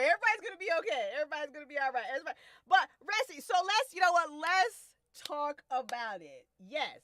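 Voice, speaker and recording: A woman's voice: fairly high pitch, bright, forceful tone, no echo. A woman hosting a casual live commentary, effusive and repeating phrases for emphasis. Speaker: show creator and host.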